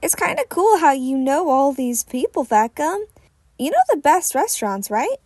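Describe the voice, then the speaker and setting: Playful teenage male hero trainee played by a woman, high voice, friendly, dry storybook narration. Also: very animated, normal speed, a little mellow, dry recording. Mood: cheerful. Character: cheerful, cheeky teenage boy hero student.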